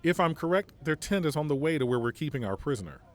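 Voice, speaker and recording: A man playing a stern, principled army general in an audio drama, speaking formally and deliberately. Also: fairly high pitch, lively intonation, faint music behind, lightly acted. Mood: dry, commanding. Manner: formal, measured, deliberate speech. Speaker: military general giving a radio interview.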